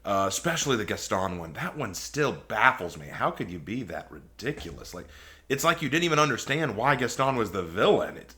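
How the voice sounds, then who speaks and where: A man's voice: medium pitch, a bit bright. A man hosting a reaction video with casual, rambling and opinionated commentary.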